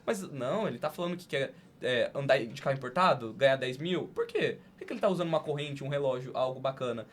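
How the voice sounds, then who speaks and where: A man's voice: mid-range voice, lively intonation, fast talker. A young man with a Brazilian accent hosting a podcast in casual, slangy conversation. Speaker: podcast host.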